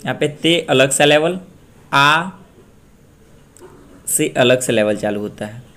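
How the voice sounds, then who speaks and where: Slow, drilling male language teacher. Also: medium pitch, lively intonation, bright, forceful tone. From Bihar, India. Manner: slow, repeats words drill-style. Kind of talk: pronunciation lesson.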